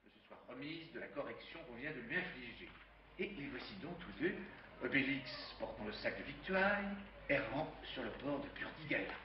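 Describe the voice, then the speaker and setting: A man's voice: mid-range voice, lively intonation, normal speed, distant mic. Man, radio play narrator, storytelling, off-mic, roomy, hissy muffled tape.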